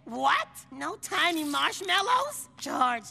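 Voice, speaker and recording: Creaky, scheming cartoon marmoset. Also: male voice, very high-pitched, big pitch swings, takes it slowly, full character voice. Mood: demanding, mock-helpless. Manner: scheming, whiny, exaggerated. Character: sly, lazy, bossy male marmoset who fakes helplessness. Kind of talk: animated cartoon acting. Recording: loud cartoon music behind.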